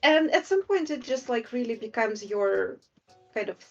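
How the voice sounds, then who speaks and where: A woman's voice: a little high, expressive, normal speed, dull audio, faint music behind. A woman art director chatting casually on a livestream while she draws, talking in a hesitant way with many fillers and restarts. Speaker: associate art director drawing on stream.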